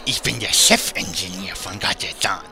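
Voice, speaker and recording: A man playing a shifty, cowardly goblin inventor in a fantasy parody radio play, halting and drawn-out, with sly chuckles. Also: low-pitched, vocal fry, over music. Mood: sly, ingratiating.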